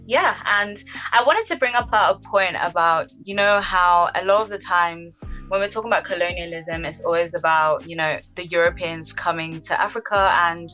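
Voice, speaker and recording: A woman's voice: on the low side, moderate pace, bright, forceful tone, muffled recording, faint music behind. A woman with a British accent, born in Zimbabwe and raised in the UK, who explains history at length as a podcast guest, with many fillers.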